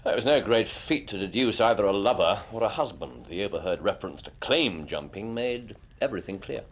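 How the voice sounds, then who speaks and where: An actor playing a brilliant, urbane English detective in a period radio drama, speaking precisely and theatrically. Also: male voice, lowish voice, lively intonation, fairly quick. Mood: confident, analytical.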